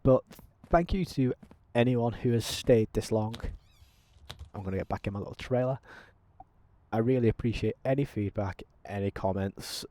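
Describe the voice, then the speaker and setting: A man talking through a simulator game as a channel host, in a self-described monotone with frequent ums. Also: fairly low pitch, normal speed. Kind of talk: casual gameplay commentary.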